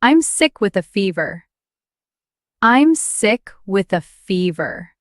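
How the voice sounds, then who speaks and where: A woman teaching English, repeating each short sentence several times in a slow drill, often dropping to a whisper. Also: mid-range voice, very animated, no room sound, studio quality.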